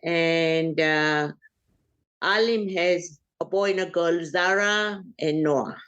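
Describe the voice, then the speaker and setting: An older woman from Uganda, a family elder being interviewed, talking in an unhurried, hesitant way with frequent pauses. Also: fairly low pitch, fairly bright tone, highs cut off.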